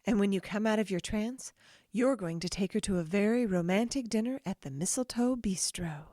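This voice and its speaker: A woman's voice: mid-range voice, normal speed, mellow, no echo, clean studio sound, lightly acted. A woman playing an insistent, sly wife in a comedic audio drama. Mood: smugly commanding. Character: bossy, sly wife of a travel agent.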